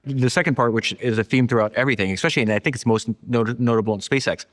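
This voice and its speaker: A man's voice: mid-range voice, very fast. A man hosting a conversational interview, retelling things with animation and emphatic rhetorical questions. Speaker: podcast host. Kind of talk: conversational long-form interview talk.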